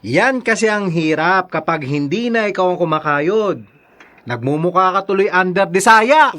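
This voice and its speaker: Cheeky younger bro in a radio drama, sly, teasing, voice a little high. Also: male voice, lively intonation, moderate pace, pushed and bright. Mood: needling.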